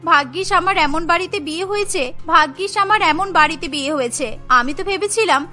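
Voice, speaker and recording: A woman voicing a sly, excitable young daughter-in-law in a family cartoon. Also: very high-pitched, brisk, soft background music. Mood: relieved, gleeful.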